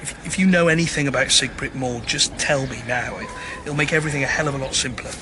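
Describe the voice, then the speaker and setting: A man's voice: mid-range voice, fast, lightly acted. Considerate local policeman played by a man, noisy background. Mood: gentle, reassuring. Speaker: actor in a radio drama. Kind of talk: naturalistic radio drama acting. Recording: radio drama with clear background ambience.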